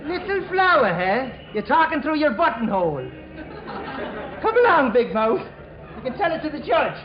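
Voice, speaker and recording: A man's voice: extremely high pitch, big pitch swings, moderate pace, over loud music, over a noisy crowd. An actor playing a brash, sarcastic policeman in 1940s radio comedy, taunting and wisecracking. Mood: mocking. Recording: muffled echoey radio stage with audience.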